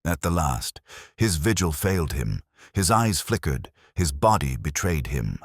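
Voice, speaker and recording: A man voicing a grave, incisive detective in a mystery audiobook, speaking in measured, solemn, oratorical phrases. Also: very deep voice, sing-song, husky, no echo, studio quality, exaggerated voice. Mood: reflective, solemn. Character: grave, incisive male detective explaining a case.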